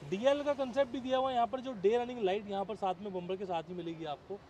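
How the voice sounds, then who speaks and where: A man's voice: high voice, steady tone, fast, a little mellow. A man casually explaining a product to viewers as a car-kit maker, in a friendly explanatory way. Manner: explanatory, addresses viewers as brothers. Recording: faint outdoor traffic noise.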